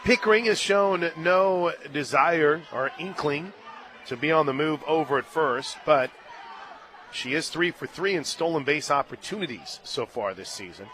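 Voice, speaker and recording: A man calls the game play by play from a stadium radio booth, his voice bright and slightly high. He keeps a brisk, steady patter and lifts into excited shouting when the action breaks. Faint crowd ambience sits under his headset mic. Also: slight background noise. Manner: brisk, surges into excited shouting on big plays. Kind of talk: live sports play-by-play.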